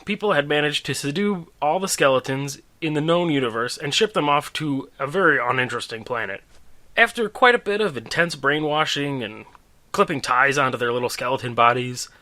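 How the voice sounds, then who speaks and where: A man's voice: mid-range voice, pushed and bright. A man hosting and reading his own humorous story, with wry, conversational asides. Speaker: storyteller and host.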